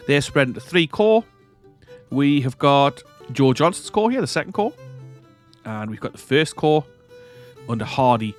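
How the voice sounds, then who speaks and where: A man with a stuffy nose from a cold, giving casual commentary over a strategy game. He rambles, corrects himself and chuckles often. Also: mid-range voice. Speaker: strategy game let's-play host.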